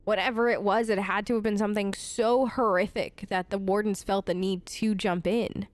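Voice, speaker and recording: A woman's voice: mid-range voice, steady tone, normal speed, no room sound. A woman giving casual, playful gameplay commentary, chatting and reacting aloud. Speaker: gamer hosting a role-play let's play.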